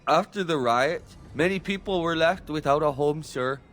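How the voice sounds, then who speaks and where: A man's voice: a little high, normal speed, fairly bright tone, faint music behind, natural voice. A man playing a plaintive street beggar in a video game, pleading poverty.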